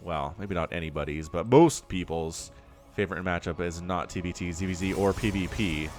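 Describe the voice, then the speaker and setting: A man's voice: sits low, moderate pace, over music. A young man with a sniffly cold, giving chatty solo commentary on a strategy game and wandering off on jokey tangents. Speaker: StarCraft shoutcaster.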